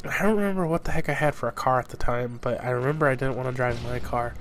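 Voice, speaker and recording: A man's voice: medium pitch, quick pace, a little hiss or hum. A young man from southern Idaho, congested from a cold, chatting casually over gameplay footage as a gaming YouTuber, rambling with lots of fillers.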